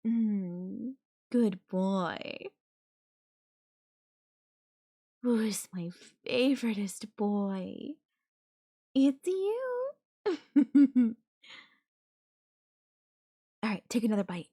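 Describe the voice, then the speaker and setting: A woman's voice: on the high side, big pitch swings, extremely slow, long pauses, mellow, heavily acted. A woman voicing a possessive yandere girlfriend in an audio roleplay, coaxing and teasing her captive with veiled threat. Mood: cooing, teasing. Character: possessive yandere girlfriend, sweetly menacing captor. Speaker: voice actor.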